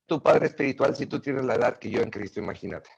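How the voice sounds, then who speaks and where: A middle-aged man, a guest in a casual interview, telling stories in an animated way with many fillers and restarts. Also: mid-range voice, fast talker, gravelly, slight background noise.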